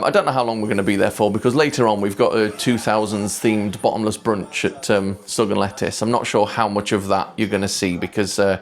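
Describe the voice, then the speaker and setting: Man, soft-spoken, hesitant, lowish voice, casual vlog, clip-on mic. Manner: quiet, hesitant, lots of ums. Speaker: vlogger. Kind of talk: casual travel vlog to camera. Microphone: clip-on microphone.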